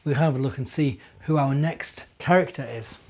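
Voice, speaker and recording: A middle-aged man, a minister, talking and reading to young children in an expressive, simple storytelling way. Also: mid-range voice, normal speed. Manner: expressive, simple child-directed delivery with rhetorical questions. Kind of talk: children's storytelling and gentle chat.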